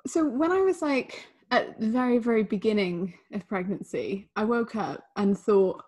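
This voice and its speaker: A young woman co-hosting a podcast in casual chat, talking freely with quick asides into a studio microphone. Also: a little high, expressive, a little mellow. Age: young adult. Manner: chatty, laughs easily, quick asides. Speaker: podcast co-host.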